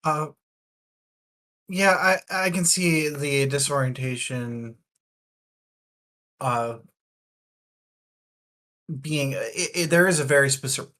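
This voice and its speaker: A man's voice: mid-range voice, a bit bright. A man talking casually in a book discussion, analytical and wordy, feeling out his phrasing as he goes.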